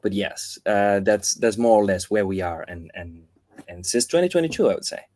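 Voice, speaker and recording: A man in his thirties with an Argentine accent, speaking as an interview guest, thoughtful and pausing to restart his sentences. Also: lowish voice, very animated, darkish tone, no room sound. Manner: thoughtful, with frequent restarts and fillers. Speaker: guest, foundation chief of staff. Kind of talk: casual interview talk.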